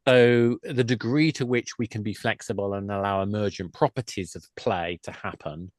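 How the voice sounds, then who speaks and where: A man's voice: lowish voice, steady tone, normal speed, dry recording, studio quality. A man speaking as an expert guest in a panel discussion, explaining things emphatically and often breaking off to rephrase himself. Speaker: guest, games industry expert.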